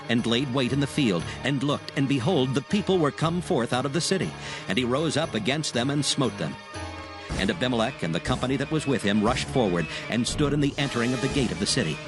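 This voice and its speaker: A man narrating a dramatized Bible in a solemn, measured storytelling style. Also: medium pitch. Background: background music.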